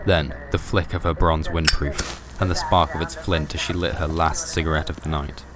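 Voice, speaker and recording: A man narrates a scripted horror story as a podcast host, in measured, literary storytelling. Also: rumbling low, steady tone, a loud crowd. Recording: music bed under the voice. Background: music.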